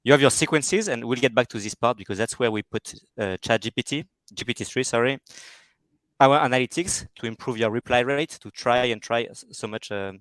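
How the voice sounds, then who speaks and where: French guy with a thick accent running a software demo, dry sound, no room echo. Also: mid-range voice, fairly quick. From France. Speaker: startup co-founder. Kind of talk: product demo.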